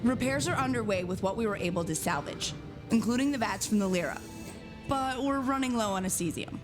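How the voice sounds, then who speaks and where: Tough female officer voiced by a woman, fairly high voice, loyal, blunt and brisk, music running underneath. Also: animated, lightly acted. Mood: matter-of-fact, reassuring. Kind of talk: animated motion-comic drama acting.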